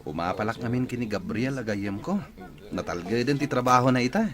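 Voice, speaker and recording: A man's voice: medium pitch, fairly quick, crowd noise, lightly acted. A man with an Ilocano accent acting a polite, grateful young student in a radio drama. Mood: grateful, respectful.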